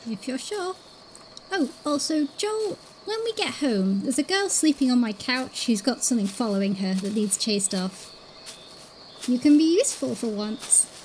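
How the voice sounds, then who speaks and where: A woman's voice: a little high, lively intonation, moderate pace, a little mellow, slight background noise, natural voice, low-quality recording. A woman in a podfic audio drama playing a bossy, teasing young woman.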